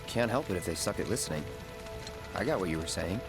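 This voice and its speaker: A man's voice: low voice, animated, quick pace. A man playing a terse, aloof young mercenary in a video game cutscene, with music and rain behind. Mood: dry reassurance. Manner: terse, blunt.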